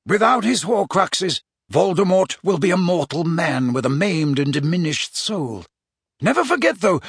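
A man's voice: on the high side, breathy edge, no room sound, clean studio sound, voice pushed up, heavily acted. A man voicing an old, wise headmaster wizard in an audiobook, speaking formally and emphatically as an earnest, urgent mentor. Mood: earnest, measured.

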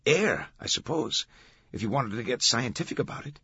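An earnest young guard, acted by a male audiobook narrator, pitched well above the usual and bright. He explains things respectfully and eagerly, with a slightly rough edge and dull, close sound. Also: expressive, normal speed, dry recording, full character voice. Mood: earnest explaining.

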